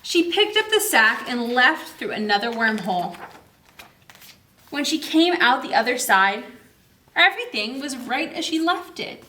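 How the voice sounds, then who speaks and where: A woman's voice: high-pitched, very animated, unhurried, bright, forceful tone, a little hiss or hum. A woman hosting a children's story time, reading aloud and talking directly to young listeners with questions and prompts. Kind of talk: animated read-aloud and chat to young children.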